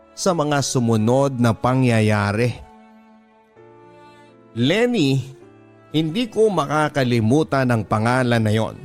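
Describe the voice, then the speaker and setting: A man with a Filipino accent reads a first-person story in a measured, confessional way, as a radio drama narrator. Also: mid-range voice. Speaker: radio drama narrator reading a listener's letter. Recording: music bed under the voice. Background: background music.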